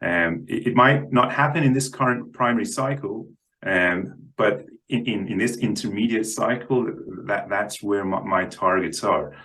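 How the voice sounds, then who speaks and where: A man speaking as a guest analyst, explaining markets conversationally in a careful, halting way, often repeating words. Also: lowish voice, sharp tone, low-quality recording.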